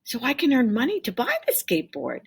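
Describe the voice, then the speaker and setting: Woman reading as a wistful young storybook boy, husky. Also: fairly high pitch, wildly swooping pitch, a little fast, pitched above the performer's own voice, exaggerated voice. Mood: glum.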